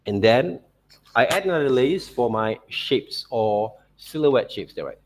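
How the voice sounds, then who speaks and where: A man narrating an informal art software tutorial as a teacher, in loosely phrased non-native English, often checking in with short tag words. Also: mid-range voice, animated, a little slow, slight background noise, poor audio. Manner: repeats check words like okay and right.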